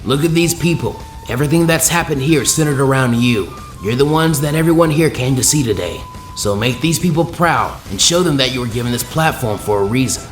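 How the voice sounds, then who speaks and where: A man playing a commanding tournament proctor, announcing formally and emphatically in a showy, drawn-out arena style. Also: mid-range voice, animated, echoey room, soft background music, natural voice.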